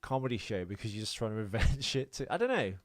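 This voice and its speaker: A man with a fairly low voice chats on an unscripted podcast. He rambles casually, swears easily and is happy to run himself down. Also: big pitch swings, fast, no echo. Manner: sweary, self-deprecating, rambling. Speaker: podcast co-host.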